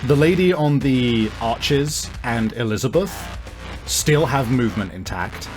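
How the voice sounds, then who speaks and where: A man running a tabletop game as game master, improvising narration and explaining deliberately with casual swearing. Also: medium pitch, lively intonation, darkish tone. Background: faint music.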